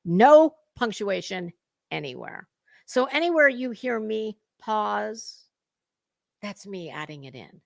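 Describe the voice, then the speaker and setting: A woman hosting a gossip commentary show, reading aloud dramatically and commenting with blunt, scornful sarcasm and emphatic, drawn-out words. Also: mid-range voice, sing-song, creaky, no room sound, clean studio sound.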